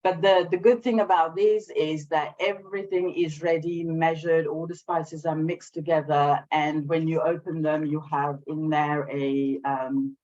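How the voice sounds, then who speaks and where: A woman from Sri Lanka, raised in France, talking conversationally as an interview guest on a video call, with a hesitant delivery that often pauses to regroup. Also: deep voice, fairly even intonation, darkish tone, lo-fi sound. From Sri Lanka, raised in France from age eight. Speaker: guest, small business owner. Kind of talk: conversational interview, telling own story and showing products.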